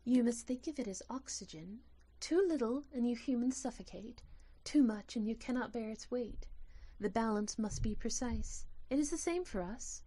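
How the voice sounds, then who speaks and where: A woman playing a delicate glass sylph in an audio drama, speaking in a formal, measured, matter-of-fact way. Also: fairly high pitch, dark tone, close to an everyday voice. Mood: earnest, solemn. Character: delicate glowing female glass sylph, calm and earnest.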